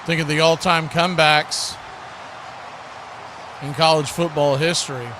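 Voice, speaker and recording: A man's voice: a little high, steady tone, measured pace. A man doing live play-by-play commentary of a football game as a sports announcer. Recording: noisy live stream, clear background noise.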